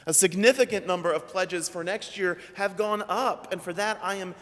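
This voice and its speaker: A man in his thirties preaching a sermon from a church pulpit, measured and deliberate, his phrases trailing off low. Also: fairly bright tone. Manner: slow, measured preaching with trailing drops in pitch. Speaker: priest. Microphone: pulpit microphone. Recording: long church reverb.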